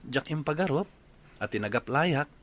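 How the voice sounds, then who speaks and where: An actor playing a polite, easygoing young suitor in a radio drama. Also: male voice, on the high side, expressive, normal speed, a bit bright. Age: young adult.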